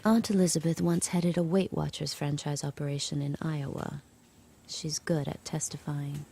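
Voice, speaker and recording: An actress playing a wary, observant captive woman in an audio drama, speaking in a guarded, breathy, understated way. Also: sits low, steady tone, normal speed, warm tone, full character voice. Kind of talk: audio drama narration and dialogue.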